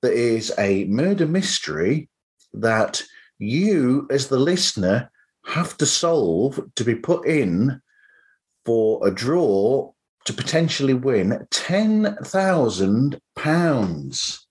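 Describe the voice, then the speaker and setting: A man's voice: medium pitch, big pitch swings, slow, a little mellow. A man hosting a podcast, chatting casually as he interviews his guests. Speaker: podcast host.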